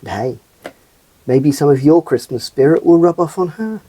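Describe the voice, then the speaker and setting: Man playing a husky, gentle, patient dad. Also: a little high, expressive, moderate pace. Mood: gentle, reassuring. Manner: gentle, reassuring. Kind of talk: scripted puppet show drama.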